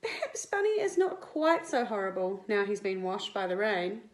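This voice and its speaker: A woman voicing a brisk, practical mother in a picture book. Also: medium pitch, very animated, natural voice. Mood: resigned, kind. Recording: roomy indoor echo.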